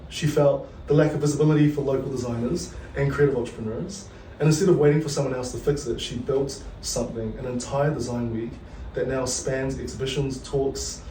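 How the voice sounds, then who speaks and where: New Zealand man, podcast host, relaxed and jokey, mellow voice, distant roomy mic. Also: medium pitch, slight background noise. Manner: laid-back, joking, many fillers, mixes in Māori words. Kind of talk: casual interview.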